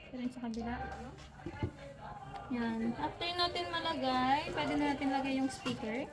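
A woman's voice: sits high, normal speed. A woman with a Filipino accent casually explaining a hands-on installation step by step. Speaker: intercom installer demonstrating the job. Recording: music playing behind.

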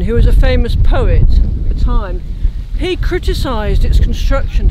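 A woman's voice: on the high side, noisy background. An enthusiastic, chatty woman, talking casually as she vlogs on a walk outdoors. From Nottingham, England. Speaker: travel vlogger. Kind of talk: casual walking vlog to camera. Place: outdoors in open countryside.

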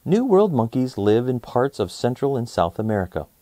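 A man reading a children's nonfiction book aloud as host, in an expressive, clearly enunciated storytelling manner for young listeners. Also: medium pitch, moderate pace, no room sound, clean studio sound.

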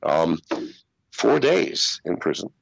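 An older man telling stories in an interview over a video call, swearing freely and talking in an animated way. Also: low-pitched, slow, vocal fry, slightly rough, dry recording, dull audio. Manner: profane, animated storytelling with laughs. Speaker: interview guest. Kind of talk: casual interview storytelling. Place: small room with acoustic foam walls. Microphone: video call wearing over-ear headphones.

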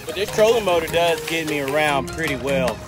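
A young man narrating his own fishing trip in a relaxed, slangy way, talking to a camera on his boat. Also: on the high side, brisk, music in the background. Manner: laid-back, slangy, talks to camera while working. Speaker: fishing vlogger. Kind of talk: casual outdoor vlog narration. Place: outdoors at a boat ramp and on open water.

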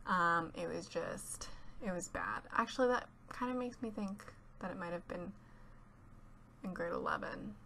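A woman's voice: on the low side, normal speed, sharp tone, slight background noise. Chatty teenage girl with a stuffy cold. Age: teens. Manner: chatty, rambling, giggly. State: congested from a cold. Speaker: YouTuber. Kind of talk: casual voice-over chat. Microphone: home voice-over mic.

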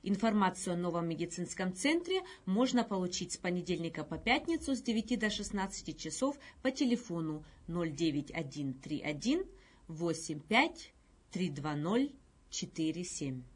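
A middle-aged woman presenting scripted news in a studio, with a slightly husky voice. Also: lowish voice, sing-song, normal speed. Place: indoor video news studio.

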